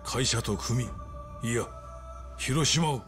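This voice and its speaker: An actor playing an older, solemn, commanding crime patriarch in a game drama, speaking gravely and deliberately. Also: male voice, medium pitch, over music, natural voice. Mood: intense, pleading. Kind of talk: cinematic game drama acting.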